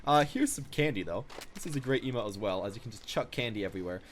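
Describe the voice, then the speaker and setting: A man's voice: fairly low pitch, lively intonation, fast, slight background noise. A young man giving casual, rambling off-the-cuff commentary as a gaming video host.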